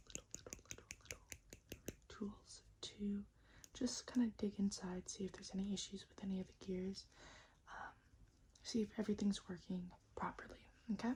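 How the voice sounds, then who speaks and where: Whispering ASMR woman, breathy and hushed. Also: mid-range voice. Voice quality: breathy, mostly unvoiced whisper. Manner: softly repeats words and short phrases, soothing step-by-step explaining. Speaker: ASMR creator playing a robot repairer.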